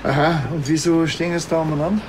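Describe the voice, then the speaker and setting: A man's voice: fairly high pitch, flat delivery, fast talker, background noise, natural voice. An actor playing a dry, laconic policeman with a Bavarian accent. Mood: dry, sceptical. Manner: laconic, colloquial. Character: dry, blunt police officer.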